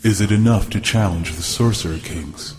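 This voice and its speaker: Man acting a deep, echoing barbarian king. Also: normal speed, close to an everyday voice. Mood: brooding, questioning. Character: proud warlike barbarian king, hungry for power. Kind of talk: fantasy audio drama acting. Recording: long cavernous reverb, music behind.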